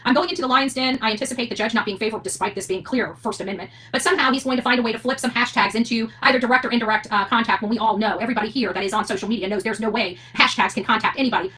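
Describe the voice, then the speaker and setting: Fast-talking, defiant woman on a rant. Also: fairly high pitch, bright, forceful tone. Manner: defiant, indignant, emphatic. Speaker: online commentator covering court cases. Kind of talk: unscripted rant to audience.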